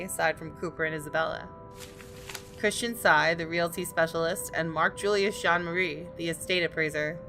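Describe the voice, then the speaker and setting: Female game narrator: lowish register, expressive storytelling read, music bed underneath. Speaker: gaming video host reading the story. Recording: music playing behind.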